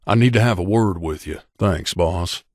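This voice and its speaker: A man's voice: deep voice, moderate pace, a little mellow, no room sound, studio quality. A man playing a middle-aged mercenary bodyguard in a video game, a blunt and guarded loner who talks in a terse, matter-of-fact, aloof way. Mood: businesslike, dry. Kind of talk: video game character dialogue.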